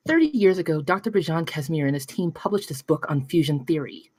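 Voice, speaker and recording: Woman doing cocky kid genius girl inventor, bit husky, expressive, deadpan, table read. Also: low-pitched, brisk, dry recording. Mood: eager, proud.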